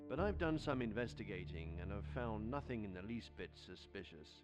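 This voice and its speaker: A man acts out a learned gentleman doctor from a game drama, low-voiced and grave. He explains things formally and calmly, with music clearly playing behind him. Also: fairly quick, lightly acted. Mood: grave, explaining. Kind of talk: scripted video game drama.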